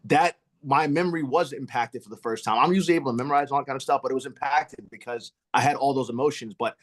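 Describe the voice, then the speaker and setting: A man's voice: medium pitch, quick pace. An American man telling a personal story casually, with a sincere, candid manner. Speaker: podcast host, actor.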